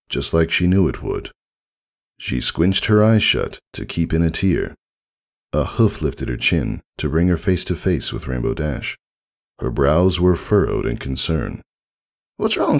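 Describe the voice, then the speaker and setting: A man reading scripted story narration with dramatic, measured phrasing. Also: rumbling low, gravelly, darkish tone. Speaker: fan fiction narrator.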